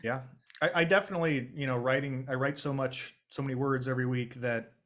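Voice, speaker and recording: A man from Michigan with a Michigan accent, hosting a casual video call and reading audience questions, chatty and quick to laugh. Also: mid-range voice, fairly even intonation, a bit bright. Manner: chatty, laughing often, repeats words.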